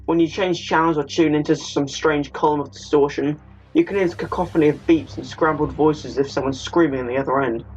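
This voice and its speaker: A man's voice: fairly high pitch, steady tone, a little fast, soft background music. A man narrating a video essay, partly scripted and partly casual. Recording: dull lo-fi audio with faint noise.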